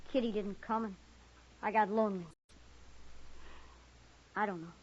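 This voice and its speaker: A woman's voice: medium pitch, steady tone, measured pace, natural voice. A woman voicing a lonely, distrustful young boy in a western radio drama, guarded and defensive. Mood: defensive, hurt. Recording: muffled old radio recording.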